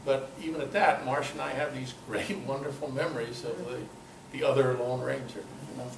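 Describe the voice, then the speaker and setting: An older man giving an informal talk at a club meeting, telling personal anecdotes in a halting, conversational way. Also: medium pitch, steady tone, creaky. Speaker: guest speaker at a club meeting. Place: meeting room, in front of an audience. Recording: echoey, noisy room recording from far off.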